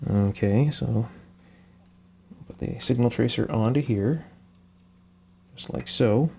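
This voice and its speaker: Man, medium-pitched voice, methodical, thinking aloud, unscripted step-by-step technical demonstration. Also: slow, vocal fry, dark tone, slight background noise. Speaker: host of an electronics repair channel. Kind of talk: unscripted hands-on repair demonstration, explaining each step. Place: indoor workshop bench.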